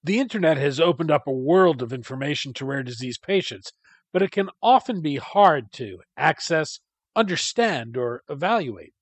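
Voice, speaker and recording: A man hosting a podcast, reading a scripted introduction and asking interview questions in a measured way. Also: a little high, lively intonation, breathy edge, no room sound. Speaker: podcast host.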